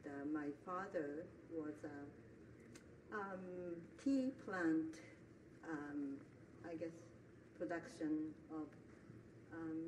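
An older woman from Japan, a guest in an interview, telling her story with many hesitant fillers. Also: low voice. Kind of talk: conversational interview. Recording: roomy and distant with background noise.

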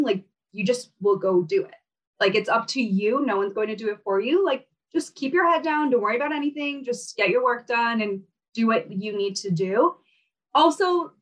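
A woman's voice: on the high side, far from the mic. Chatty woman, a bit off-mic. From Kazakhstan. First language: Russian. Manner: chatty, laughing, many fillers. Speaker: guest, fashion brand founder. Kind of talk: casual interview chat.

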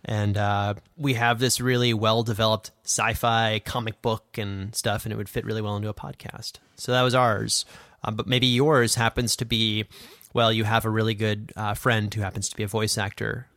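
A man's voice: fairly low pitch, flat delivery, normal speed, fairly bright tone, dry recording. Man, podcast co-host, casual explanatory chat, loose conversational phrasing, dry close sound.

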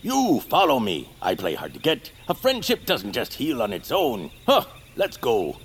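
An actor playing a needy, blunt, quirky feral hermit of the woods in an audio drama, theatrical and abrupt with a deadpan streak. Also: male voice, medium pitch, unhurried, a little hiss or hum. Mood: wounded, aloof. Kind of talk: audio fiction acting.

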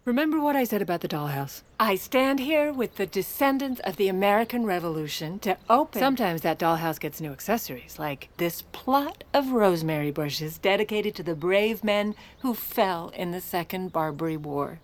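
A woman's voice: medium pitch, expressive, normal speed, hardly any pauses, lightly acted. An actress playing a shrewd, sardonic First Lady who secretly steers the presidency in an audio drama. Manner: dry, sardonic, conspiratorial.

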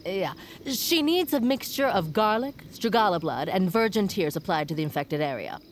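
An actress playing a wry, kindly novelist who mentors young fans in an audio drama, speaking in a fond, knowing way. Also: female voice, mid-range voice, lively intonation, moderate pace, slight background noise, close to an everyday voice. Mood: earnest.

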